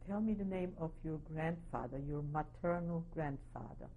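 A woman's voice: sits low, normal speed, phone-quality sound, slight background noise. A woman conducting a formal oral history interview, prompting politely and steadily.

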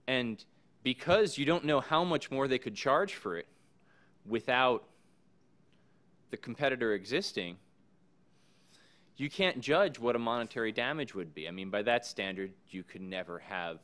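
Low-voiced man speaking at a panel. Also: expressive, a bit bright. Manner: measured, thoughtful, with frequent ums. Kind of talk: panel discussion remarks. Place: conference room. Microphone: podium microphone.

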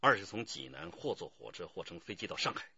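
An actor playing a decisive, authoritative police detective chief giving orders in a radio drama. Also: male voice, lowish voice, animated, fast talker, vocal fry, bright, forceful tone, husky, no echo, dull audio, heavily acted. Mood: focused, urgent.

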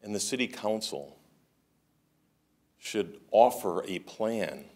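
Male, middle-aged, low register, very unhurried; solemn, emphatic public speaker. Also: expressive, darkish tone. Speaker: public speaker at a village board meeting. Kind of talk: formal public comment. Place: indoor meeting room with seated audience.

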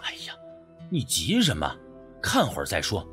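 A man's voice: low voice, huge pitch swings, moderate pace, fairly bright tone, faint music behind, voice pushed down, full character voice. Man acting a stubborn, brooding young hero. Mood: insistent.

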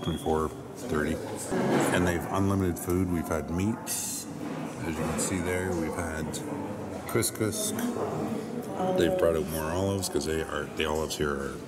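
Low-voiced man vlogging over loud noise. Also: steady tone, a little slow, pauses often. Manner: jokey, chatty, enthusiastic. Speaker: travel vlogger. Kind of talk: casual travel vlog chat.

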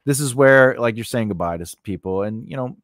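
Guy doing a casual tutorial, really animated voice, dry recording, keeps checking you're following. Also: medium pitch, fairly quick, dry recording. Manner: conversational, repeats phrases and checks in with "okay?" and "all right?". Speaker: instructor and host of a writing lesson. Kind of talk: informal screen-share tutorial, unscripted.

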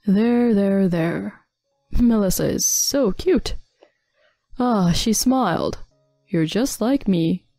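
A selfish husband in a domestic story, played by a woman, whining and bossy in an irritated, demanding way. The voice has a creaky edge and sits dry and close over background music. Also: medium pitch, slow, dark tone, no room sound. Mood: doting. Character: selfish whiny arrogant husband, adult man. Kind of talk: dramatized domestic story narration.

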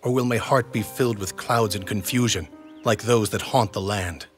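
A man as noble warlord, lowish voice, grave, formal, troubled, video game cutscene, music behind. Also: normal speed, lightly acted. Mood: troubled, doubting. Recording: background music under dialogue.

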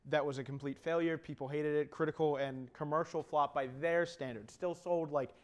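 A man in his twenties co-hosting an animated video game discussion, explaining things in an opinionated way. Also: a little high, fairly quick. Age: 20s. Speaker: talk show co-host. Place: indoor TV studio.

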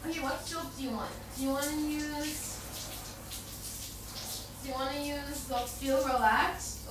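A young woman playing a playful, affectionate, teasing girlfriend in an intimate ASMR roleplay, coaxing in a girlish murmur. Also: high-pitched. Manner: giggly, coaxing, unhurried murmuring with pauses. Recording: echoey, distant, noisy with running bathwater.

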